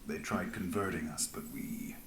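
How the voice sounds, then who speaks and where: An actor playing a weary, disillusioned rebel veteran in a fantasy video game, speaking in a grave, measured way. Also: male voice, low-pitched, steady tone, a bit bright, slight background noise. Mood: grave, instructing. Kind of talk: dramatic fantasy game voice acting.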